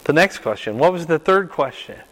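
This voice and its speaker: An older man with a loud voice leading a Bible class, quizzing his group and pressing them to answer. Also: on the high side, expressive, a little fast. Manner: questioning, repeats and presses for answers. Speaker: Bible study teacher. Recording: reverberant room.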